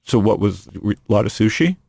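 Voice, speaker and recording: A man's voice: lowish voice, expressive, normal speed, gravelly, a little mellow, husky, no room sound. A man hosting a podcast in casual chat, rambling and joking, often restarting his sentences. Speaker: podcast host. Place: studio room.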